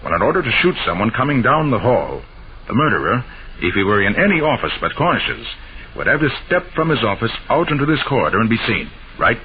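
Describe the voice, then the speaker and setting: An actor playing a shrewd, confident master detective with an assured, emphatic delivery in an old-time radio drama. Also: male voice, medium pitch, very animated, quick pace, crowd noise. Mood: confident, explaining. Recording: noisy, muffled old radio broadcast.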